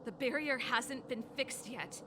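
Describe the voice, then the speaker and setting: A woman's voice: sits high, unhurried, pushed and bright, slight background noise. A woman playing an earnest, principled rebel elder sister in a video game, with a resolute, pleading delivery. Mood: defiant, resolute. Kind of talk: dramatic video game voice acting. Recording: game music under the voice.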